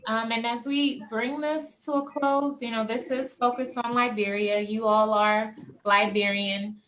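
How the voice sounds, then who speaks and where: Lady hosting a panel, talks slow, lots of ums. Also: a little high, fairly even intonation, a bit bright, slight background noise. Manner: measured, hesitant fillers. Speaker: host.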